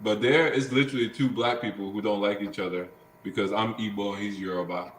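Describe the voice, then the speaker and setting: A man's voice: fairly low pitch, fairly even intonation, brisk, echoey room, slight background noise, poor audio. A man on a livestream panel, speaking in a reflective, questioning way. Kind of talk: casual panel discussion.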